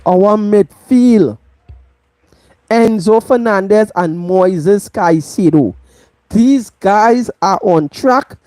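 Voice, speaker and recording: Trinidadian woman, football vlog host, unhurried and emphatic, dry close sound. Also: mid-range voice, dark tone, dry recording. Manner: emphatic, repeats points for stress. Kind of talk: live football match review.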